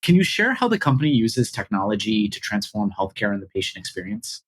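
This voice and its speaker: A man with a husky voice, co-hosting a podcast with prepared, articulate remarks and questions. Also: fairly low pitch, sing-song, a little fast. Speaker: podcast co-host.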